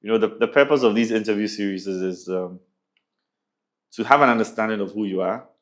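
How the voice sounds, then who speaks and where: A man hosting a sit-down interview asks his guest one question after another at a measured pace. His voice is fairly low. He often repeats a word or two before settling on his phrasing. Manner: measured, repeats words while finding phrasing. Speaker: interview host.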